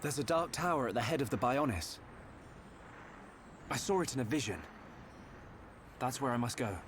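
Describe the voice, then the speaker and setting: A man acts a youthful hero in a fantasy game cutscene. His voice is expressive and varied in melody, with a friendly, earnest, gentle manner. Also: mid-range voice, moderate pace, a little hiss or hum, close to an everyday voice. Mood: determined. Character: earnest, friendly young male hero with visions. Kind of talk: video game cutscene acting.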